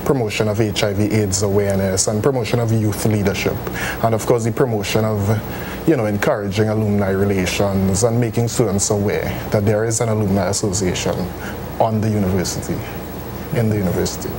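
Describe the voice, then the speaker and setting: A man's voice: lowish voice, fairly even intonation, a little fast. A young man from Trinidad and Tobago, a student guest explaining his program formally in an interview. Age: young adult. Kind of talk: interview answers. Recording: noisy TV recording.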